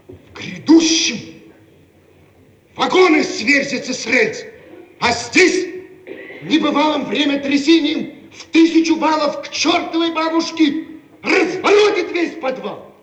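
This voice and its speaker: A slow, echoey radio drama voice: an intense, highly strung inventor. He declaims in oratorical fashion, pitched very high. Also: sing-song, fairly bright tone, full character voice. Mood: grave warning. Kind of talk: theatrical radio play acting. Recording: echoey archival radio play recording.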